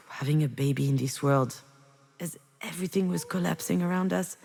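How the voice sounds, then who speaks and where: A reflective, grieving mother speaks in a low-pitched voice that rings with long reverb. Her delivery is introspective and measured, a confessional first-person monologue from a narrative video game. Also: gravelly, darkish tone, slightly rough, heavily acted. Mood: bitter regret. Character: reflective grieving mother, a screenwriter, recalling memories. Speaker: narrating protagonist of a visual novel. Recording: long reverberant hall echo.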